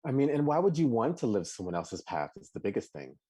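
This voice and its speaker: Man, dark husky voice, animated, stop-start, podcast co-host. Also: fairly quick. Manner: animated, many restarts, bursts of high laughing exclamations. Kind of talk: casual live chat.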